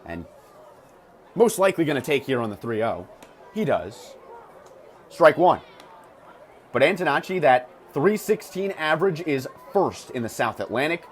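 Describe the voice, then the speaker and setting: Male baseball radio announcer, higher-pitched, clipped descriptive play-by-play, clear ballpark noise behind him. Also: very animated, normal speed, pauses often, a faint crowd. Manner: clipped, descriptive calls that swell on big plays. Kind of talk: live sports commentary. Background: ballpark ambience.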